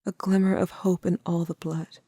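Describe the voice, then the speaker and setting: A woman playing a brooding, guilt-haunted young narrator in an audio drama, speaking in a measured, confessional way. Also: fairly low pitch, flat delivery, warm tone, no echo, studio quality, lightly acted. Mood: uneasy, reflective.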